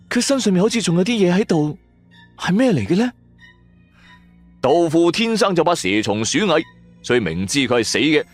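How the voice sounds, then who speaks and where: Male storyteller, highly animated suspense narration for a supernatural audio drama, music underneath. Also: no room sound. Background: background music.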